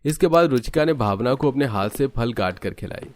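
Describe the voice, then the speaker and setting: A man's voice: medium pitch, animated, normal speed. A man narrating a scripted cartoon family story as a storyteller.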